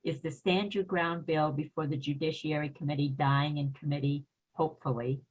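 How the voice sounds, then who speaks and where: A woman's voice: sits low, fairly even intonation, normal speed, a little mellow, breathy edge. A woman moderating a remote panel and reading out submitted questions. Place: remote video meeting. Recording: muffled video call audio.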